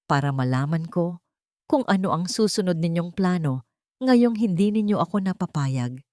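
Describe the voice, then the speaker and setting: A woman with a Filipino accent voicing a wronged wife, calm and firm, in a romance story. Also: on the low side, normal speed, no room sound, clean studio sound, natural voice. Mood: cold, firm. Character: wronged wife, quietly firm, cold and composed.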